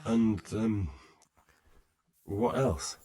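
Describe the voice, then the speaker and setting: An actor playing an earnest, polite young blacksmith who is eager to help, somewhat tentative, in a video game drama. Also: male voice, lowish voice, extremely slow, dark tone. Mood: concerned, helpful.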